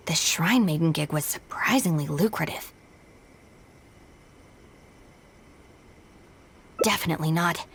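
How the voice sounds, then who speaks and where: A woman's voice: on the low side, fairly bright tone, a little hiss or hum. A woman voicing a guarded, practical young woman with a dry, matter-of-fact manner in a video game. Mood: dry, surprised. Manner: reserved, matter-of-fact, polite. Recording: faint music behind.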